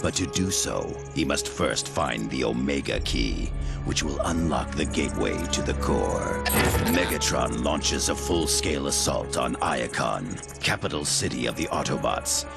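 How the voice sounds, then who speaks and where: An ominous game narrator, extremely deep and gravelly. He delivers a solemn, measured war saga over loud music. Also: animated, heavily acted. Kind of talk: scripted narration.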